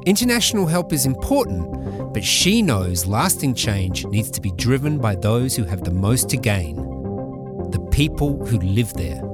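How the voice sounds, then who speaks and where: A man hosting an agriculture podcast, reading scripted narration in a measured way. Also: medium pitch, big pitch swings. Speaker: podcast host. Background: background music.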